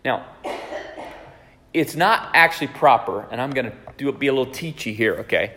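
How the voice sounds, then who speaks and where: A man's voice: mid-range voice, animated, moderate pace, bright, forceful tone. Man in his forties, pastor teaching in a church, chatty, hall reverb. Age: 40s. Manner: conversational, checks in with listeners. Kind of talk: teaching sermon. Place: church sanctuary.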